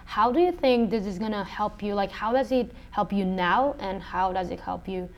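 A woman's voice: medium pitch, moderate pace. A woman interviewer asking questions in a casual, conversational style.

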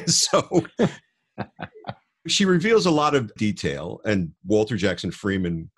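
Guy podcast host, lowish husky voice, chatty and thoughtful, explains stuff as he goes. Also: sing-song, slow. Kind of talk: conversational podcast book talk.

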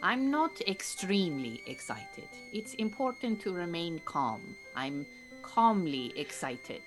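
Calm dry beekeeper woman from iran, lowish voice, formal wording, audio drama, music under it. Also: full character voice. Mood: composed. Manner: measured, dry, formal phrasing.

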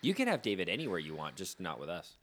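A man's voice: low voice, fast. A man co-hosting a casual podcast, teasing his friends and telling stories with a lot of laughter. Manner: animated, teasing, laughing storyteller. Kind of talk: casual banter among friends.

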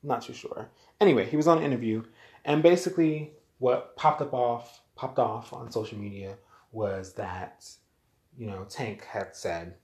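A man's voice: medium pitch, normal speed, darkish tone. An American man delivering a blunt, exasperated, lecturing opinion monologue straight to his audience. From United States. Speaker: vlogger giving opinions. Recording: roomy echo.

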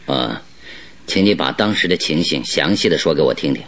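An actor playing an attentive, methodical detective, measured and probing, in a radio drama. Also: male voice, rumbling low, animated, fairly bright tone, exaggerated voice. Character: attentive, methodical male detective questioning a client. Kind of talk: Mandarin radio drama acting. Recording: radio drama with music behind.

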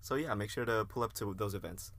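A man's voice: lowish voice, brisk, fairly bright tone. A young man co-hosting a student podcast in a casual style. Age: young adult. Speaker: co-host. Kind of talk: casual podcast talk, sarcastic sponsor bit.

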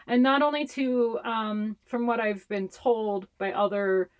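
A woman's voice: fairly high pitch, steady tone, a little slow. A young adult woman from Hawaii with a Hawaiian accent, whose first language is Hawaiian Pidgin English, chatting casually as an interview guest and laughing often. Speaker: public health communicator, guest.

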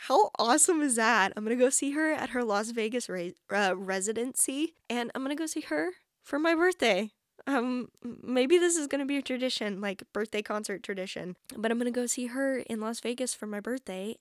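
A woman's voice: a little high, no room sound, studio quality. A woman in her twenties hosting a podcast solo, chatting casually and informally with a lot of "like" sprinkled in. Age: 20s. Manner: chatty, informal, heavy use of "like". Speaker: podcast host.

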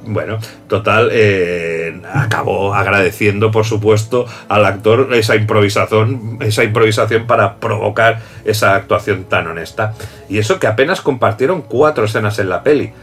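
A man's voice: fairly low pitch, normal speed, fairly bright tone, soft background music. A man hosting a casual film chat, talking loosely, laughing often and restarting sentences. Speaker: host. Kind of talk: casual chat about films.

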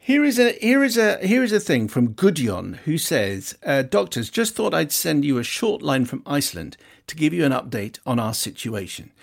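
A man's voice: on the high side, big pitch swings, normal speed, gravelly, few pauses. A man hosting a radio film show, reading listener emails aloud in a chatty way with wry asides.

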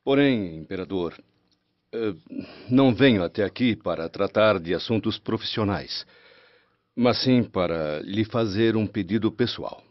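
Low-voiced, courteous Roman nobleman. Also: expressive, a little slow, no echo. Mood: respectful. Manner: respectful, formal. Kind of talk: radio drama acting. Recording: dry, muffled radio drama recording.

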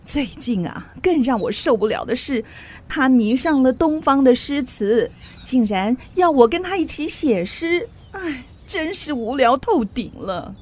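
A woman acting a chatty, gossipy society lady in a Mandarin radio drama, with animated, expressive delivery. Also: sits high, a little slow, slightly rough, heavily acted. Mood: exasperated complaining. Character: chatty, gossipy society lady complaining about her bookish husband.

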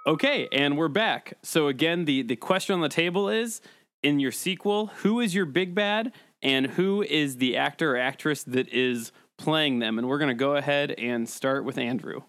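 A man's voice: fairly high pitch, normal speed, fairly bright tone, no echo. A man hosting and moderating a comedy podcast in a casual game-show style.

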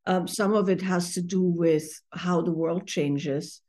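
A middle-aged woman from Austria, a therapist and author, giving thoughtful interview answers with many pauses and fillers. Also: lowish voice, fairly even intonation, a little mellow.

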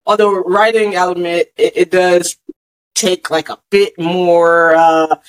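A man's voice: high voice, little pitch movement, slow pace, clear, resonant tone, low-quality recording. A man hosting a podcast in casual chat, easygoing and agreeable, laughing often. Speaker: podcast host.

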